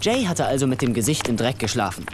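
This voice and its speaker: Mann spielt peniblen, sarkastischen jungen Urlauber, sehr lebhafte Intonation. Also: male voice, mid-range voice, sing-song, fast, close to an everyday voice. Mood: dry, wry. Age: young adult. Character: awkward, fretful, sarcastic young male holidaymaker. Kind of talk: German film dub, wry voice-over and banter. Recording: music behind. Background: music.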